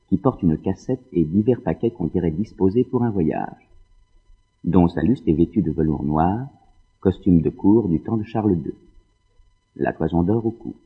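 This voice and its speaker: A male narrator reads stage directions for an audio drama. His voice is very low and husky, and the sound is narrow and muffled, as if through a phone.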